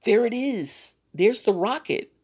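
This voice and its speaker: A man voicing a forceful, indignant elderly woman with shrill, accusing exclamations in a dramatic story reading. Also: animated, slow, breathy edge, exaggerated voice. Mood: fierce, urgent.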